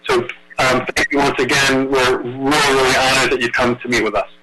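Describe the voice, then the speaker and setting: Halting man chairing a video meeting. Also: medium pitch, level tone, rough recording. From Brooklyn, New York. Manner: halting, many filler words, effusively thankful. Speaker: commission chair. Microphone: video call.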